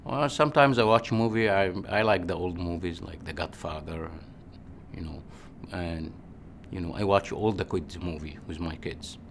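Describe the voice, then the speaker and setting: A man in his forties from Egypt with an Egyptian accent, a physician answering interview questions in an unhurried, halting way with restarts. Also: sits low, a little hiss or hum.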